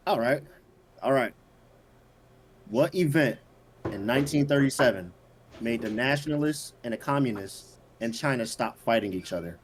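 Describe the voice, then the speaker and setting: Male quiz host, mid-pitched, reads options slowly, light hiss behind. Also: expressive. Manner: reads answer options slowly, teases players. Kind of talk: reading quiz questions on a casual online show.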